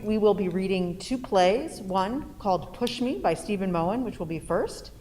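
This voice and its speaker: A woman speaking as a theater host, introducing a live event and reading stage directions. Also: medium pitch. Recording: reverberant hall, faint noise.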